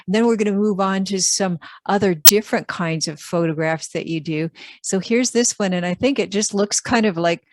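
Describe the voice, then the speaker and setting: A woman's voice: mid-range voice, no echo. A woman hosting a podcast, interviewing a guest and praising her work effusively. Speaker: podcast host.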